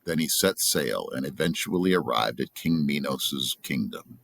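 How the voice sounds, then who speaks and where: A man's voice: rumbling low, expressive, normal speed, vocal fry, a bit bright, no room sound. A man reading a story aloud as a narrator. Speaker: storybook reader.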